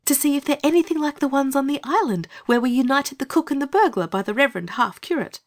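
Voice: high voice, expressive, quick pace, voice pushed up. A performer voicing a kind, concerned older sister, an earnest British schoolgirl in a storybook. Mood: eager.

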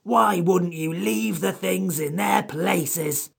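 A bad-tempered storybook creature, raised well above a narrator's register. The voice is very husky and rough, and it grumbles and threatens crossly. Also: male voice, sits high, slow, pitched above the performer's own voice, full character voice. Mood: furious, indignant. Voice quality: creaky, croaky, rough. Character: bristling, furious, grumbling magical wish-granting creature.

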